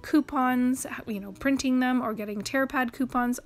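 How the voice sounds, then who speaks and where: High-voiced woman narrating a grocery haul. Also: fairly even intonation. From Canada. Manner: brisk, upbeat listing of prices and savings. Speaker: couponing channel host. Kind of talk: voice-over grocery haul walkthrough. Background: faint background music.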